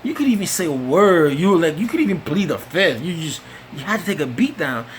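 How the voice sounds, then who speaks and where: A man's voice: a little high, sing-song, brisk, a little hiss or hum. A man from the Bronx with a New York accent, telling stand-up stories in loose, profane street talk and acting out the people he quotes. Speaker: stand-up comedian. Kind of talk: stand-up storytelling.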